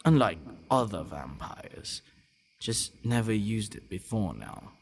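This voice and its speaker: A man playing a gentle, devoted vampire boyfriend in an intimate ASMR roleplay, speaking softly and haltingly. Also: lowish voice, big pitch swings, full character voice. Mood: eager, defensive. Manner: halting, soft-spoken, often dropping to breathy murmurs.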